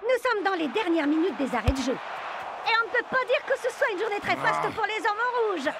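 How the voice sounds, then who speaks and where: A woman voicing an excited football commentator in an animated cartoon. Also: very high-pitched, brisk, background noise, exaggerated voice.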